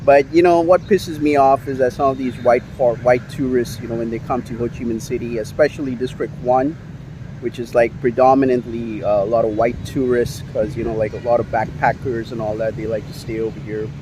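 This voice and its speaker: A man's voice: medium pitch, steady tone, fairly quick. Man from India, outdoor vlogger with a mid voice, filler-heavy and frustrated, light street noise. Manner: rambling, many fillers, frustrated complaining. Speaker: YouTuber. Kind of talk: casual vlog monologue. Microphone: handheld camera.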